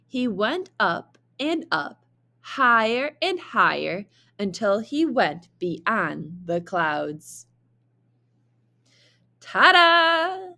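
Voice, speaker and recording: A woman reading a children's story aloud like a teacher, with expressive, deliberate narration. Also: on the high side.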